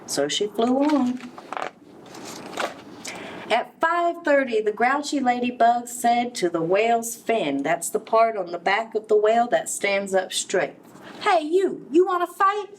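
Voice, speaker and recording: A woman reading a picture book aloud to young children, expressively, pausing to explain and ask questions. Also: fairly high pitch. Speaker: children's story reader, early education center director. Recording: distant mic with background noise.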